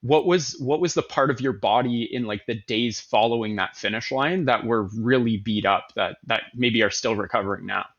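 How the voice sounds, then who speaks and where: A man hosting an interview, asking relaxed questions with plenty of fillers. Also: mid-range voice, normal speed, few pauses, highs cut off. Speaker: host. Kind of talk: casual interview.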